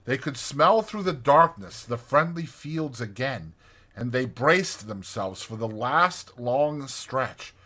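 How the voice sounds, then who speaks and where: Bright, forceful man narrating a storybook. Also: medium pitch, animated, slow. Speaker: audiobook narrator. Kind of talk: dramatized literary storybook narration.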